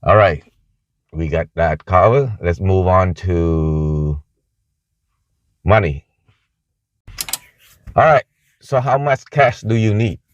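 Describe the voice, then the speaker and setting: The recording is a little dull and muffled, made indoors. A man with a low voice and a Vietnamese accent talks informally to camera. He explains things haltingly, like a casual travel vlogger. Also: animated. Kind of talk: casual talking-head video. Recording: muffled, echoey room sound.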